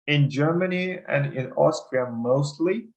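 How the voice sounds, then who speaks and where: A man's voice: a little high, measured pace. Man, hesitant webinar host. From Ukraine. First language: Ukrainian and Russian. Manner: hesitant, many fillers. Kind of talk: casual advice over a video call. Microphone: video call. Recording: dull lo-fi call audio.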